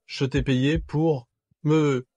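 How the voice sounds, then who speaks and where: Coldly possessive, sardonic husband. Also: male voice, fairly high pitch, fairly even intonation, very slow, warm tone, no room sound. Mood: cold, dismissive. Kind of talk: dubbed melodrama acting.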